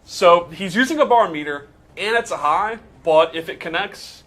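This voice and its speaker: A man's voice: sits high, normal speed, a bit bright. A man giving a casual, explanatory breakdown in the style of gameplay commentary. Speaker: game commentator breaking down a character.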